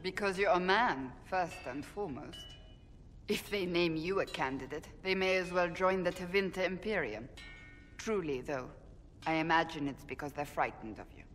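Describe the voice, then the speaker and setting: A woman playing a stern, devout warrior in a fantasy game, speaking formally and with measured, blunt earnestness. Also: low voice, natural voice. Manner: formal, measured, deliberate phrasing. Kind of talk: dramatic video game dialogue.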